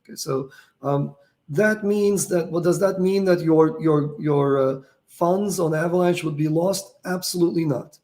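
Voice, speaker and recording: A man's voice: a little high, unhurried, warm tone. A middle-aged man who is losing his voice and feeling tired, explaining technical topics in a casual way and thinking aloud with many fillers and restarts. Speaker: founder answering viewer questions.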